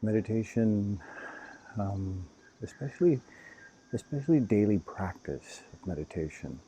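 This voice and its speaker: Man, meditation teacher, very slow delivery, mellow dark tone, gentle instructions, faint cricket-like ambience. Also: lowish voice, slight background noise, rough recording. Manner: calm, unhurried, gently instructing. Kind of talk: guided meditation.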